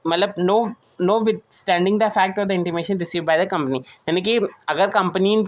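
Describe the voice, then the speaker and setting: A woman's voice: lowish voice, fairly even intonation. A woman with an Indian accent giving an explanatory lecture as a teacher, reading provisions aloud and then explaining them with repeated key points. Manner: switches between slow English reading and Hindi explanation, repeats key points. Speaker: teacher of company law.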